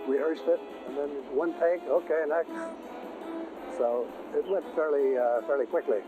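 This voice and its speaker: San francisco guy rambling about old times, lots of uhs, higher voice, tinny sound. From San Francisco, USA. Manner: relaxed, rambling, many "uh" pauses. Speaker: interviewee, actor. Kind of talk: casual recollection in an interview. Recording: tinny phone-like sound with music.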